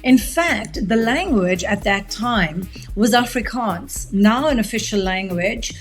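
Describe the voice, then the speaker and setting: A middle-aged woman speaks as a podcast guest and recounts her history at length in an articulate, explanatory way. Her voice sits at an ordinary mid pitch for a woman. Soft music plays faintly underneath. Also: animated, slow. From South Africa. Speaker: guest, media tech executive. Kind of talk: podcast interview, long storytelling answer.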